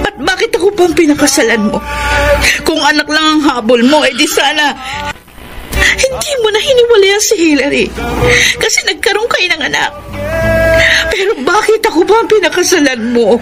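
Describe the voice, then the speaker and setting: An actress with a Filipino accent playing a hurt, weary wife in a radio drama, speaking with tearful emotion. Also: female voice, very high-pitched, full character voice. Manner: tearful, emotional, pleading delivery. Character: hurt, weary wife ending her loveless marriage. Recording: loud music under the voice.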